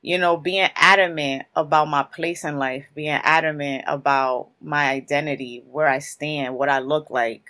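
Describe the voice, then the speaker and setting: A guest in their thirties from Newark speaks, answering in long, emphatic stories full of casual street phrasing. The voice sits at a middle pitch, neither high nor low. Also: fairly even intonation, moderate pace, few pauses, bright, forceful tone. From Newark, New Jersey. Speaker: guest, creative in publishing.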